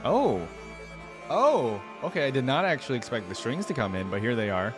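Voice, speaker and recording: A man's voice: medium pitch, big pitch swings, moderate pace. A man hosting a casual reaction video, musing aloud in an informal way. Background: music from the video being watched.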